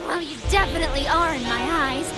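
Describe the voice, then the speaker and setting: A woman voicing a playful, sinister villain in a video game, with a sugary, taunting, drawn-out delivery. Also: high-pitched, lightly acted. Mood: gleeful taunting. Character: gleeful, sweet-toothed female villain, playful and sinister. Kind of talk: theatrical video game voice acting. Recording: loud music behind the voice.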